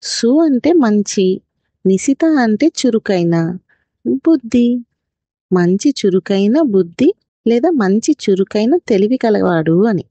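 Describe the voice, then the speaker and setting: A woman tells a children's moral story in a gentle, explanatory way, like a mother to her child. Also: medium pitch, animated, slow pace, pauses often, no room sound, highs cut off.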